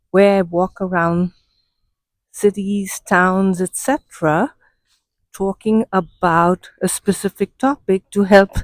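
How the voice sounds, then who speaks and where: A woman's voice: mid-range voice, darkish tone, dry recording, rough recording. A woman with an Indian English accent, talking to the camera in a walk-and-talk vlog as she walks along outdoor city streets. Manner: measured, unhurried explaining. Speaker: vlogger and coach.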